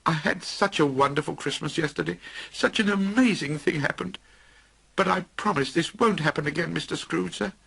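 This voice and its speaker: A man plays a nervous clerk in a storybook, pleading and apologetic. His voice sits higher than his own and keeps some grit. Music hums behind. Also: normal speed, gravelly, fairly bright tone, breathy edge, exaggerated voice. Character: timid young male clerk, fearful.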